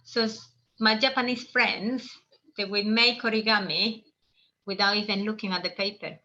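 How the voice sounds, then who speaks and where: A woman's voice: medium pitch, steady tone, normal speed, pushed and bright, muffled recording. A woman from El Salvador hosting a live online origami lesson, explaining in a friendly, meandering way with restarts. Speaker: workshop host.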